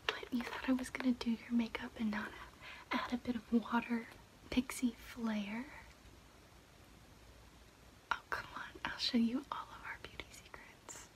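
A young woman playing a friendly water fairy in an ASMR roleplay, speaking casually in a breathy, close-miked whisper with small hesitations. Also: fairly high pitch, flat delivery, unhurried, pauses often, natural voice, low-quality recording. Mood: chatty, confiding. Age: young adult. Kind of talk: ASMR roleplay, personal attention. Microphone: close mic.

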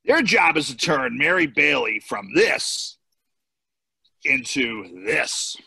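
A man playing a brisk, slick, businesslike campaign adviser in an amateur cartoon table read. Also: medium pitch, big pitch swings, gravelly, sharp tone, breathy edge, no echo, heavily acted. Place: indoors on a home video call.

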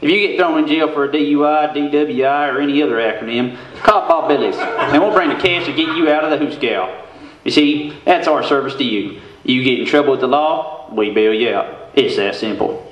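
Folksy huckster pitchman played by a man, echoey. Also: a little fast, close to an everyday voice. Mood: hucksterish. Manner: folksy, emphatic salesman. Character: hucksterish bail bondsman radio pitchman, man. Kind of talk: spoof radio commercial. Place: theater stage with stand microphone. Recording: echoey stage hall.

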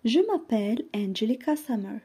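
A woman teacher reads a lesson text aloud in short, careful phrases, pausing to translate each one. Her voice sits high, yet it sounds dark and mellow, with a breathy, husky edge. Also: very animated. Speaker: French teacher.

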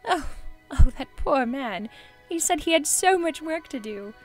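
A woman's voice: high-pitched, lively intonation, a little slow, soft background music. A woman playing a wealthy older widow in an audio drama, earnest, theatrical and full of feeling. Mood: joyful, effusive. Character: wealthy older widow, wistful, emotional and effusive.

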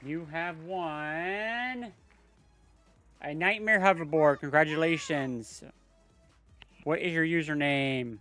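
Bright-voiced man hosting a game stream. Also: on the high side, takes it slowly, long pauses, soft background music. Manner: chatty, talks to viewers and family in bursts. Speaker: livestream host running giveaways. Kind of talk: casual livestream chat.

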